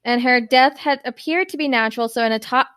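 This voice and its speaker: A woman podcast co-host with a fairly high voice talks through a true crime story in loose, casual chat. She rambles, leans on filler words, and trips over long words. Her first language is Spanish, and she grew up in New York. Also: a little fast, fairly bright tone, smooth.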